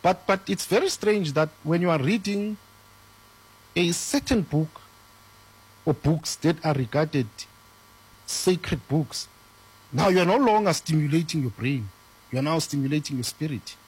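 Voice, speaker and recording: High-voiced, husky man. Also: lively intonation, measured pace, long pauses, a little mellow, poor audio. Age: 30s. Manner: earnest and explanatory, with rhetorical questions. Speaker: spiritual teacher. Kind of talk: conversational teaching.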